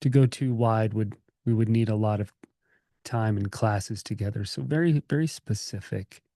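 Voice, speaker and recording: A man with a dark, mellow voice guides a meditation in a gentle, unscripted manner. He sits on the low side in pitch and pauses between phrases, keeping an informal, calming tone. The sound is dry, with hardly any room on it. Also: dry recording. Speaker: meditation teacher.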